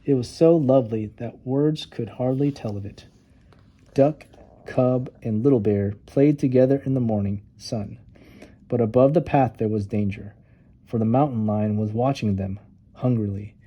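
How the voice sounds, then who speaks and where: A man narrating a children's storybook with expressive, dramatic emphasis on key words. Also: medium pitch, normal speed, highs cut off. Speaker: storytime reader.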